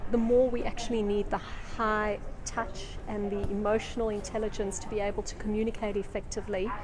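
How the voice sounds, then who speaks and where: A woman's voice: mid-range voice, flat delivery, a little fast, a crowd in the background. A middle-aged woman with a South African accent, a leadership coach speaking earnestly in long fluent sentences in an event interview. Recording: noisy event floor.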